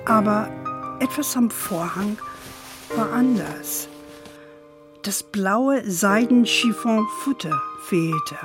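Slow-spoken old grandmother storyteller. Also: medium pitch, expressive, music in the background. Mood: measured narrating. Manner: unhurried, measured storytelling. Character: spirited old grandmother, fond storyteller of family history. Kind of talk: children's radio drama storytelling.

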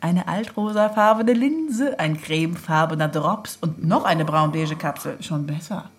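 An actress playing a sharp-witted woman in her thirties in naturalistic radio drama, teasing her partner with playful deadpan. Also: low voice, measured pace. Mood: playful, mocking. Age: 30s.

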